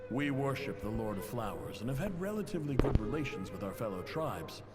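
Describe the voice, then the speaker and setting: Man acting a proud desert chief, echoing, expressive. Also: medium pitch, natural voice. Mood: grave, pleading. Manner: formal, measured, courteous. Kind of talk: dramatic video game letter narration. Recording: long reverb, music behind.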